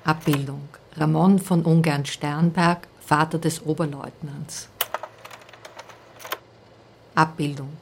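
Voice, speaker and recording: Radio-play caption reader: low-voiced, factual, clipped, unhurried. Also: female voice, fairly even intonation, vocal fry, slight background noise, full character voice. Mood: matter-of-fact. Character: matter-of-fact female reader of picture captions.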